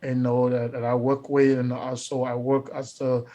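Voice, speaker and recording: A man's voice: mid-range voice, completely flat delivery, moderate pace, warm tone. A Liberian man, a pastor and guest, talking earnestly in a casual interview with repeated words and uh fillers.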